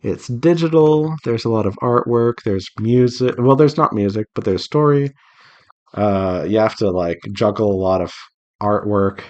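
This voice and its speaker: A man's voice: on the low side, moderate pace, a little mellow, lo-fi sound. A man chatting casually to viewers as he works, thinking aloud in a rambling, self-reassuring way. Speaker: visual novel developer hosting a draw-along devlog. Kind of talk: casual solo chat while drawing.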